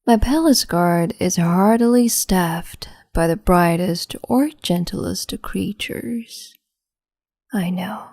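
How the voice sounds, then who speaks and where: A woman voicing a cruel, capricious empress in an ASMR roleplay, speaking slowly and mockingly and slipping into whispers. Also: fairly low pitch, gravelly, a little mellow, no room sound, studio quality. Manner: slow, mocking, condescending, drifts into whispers.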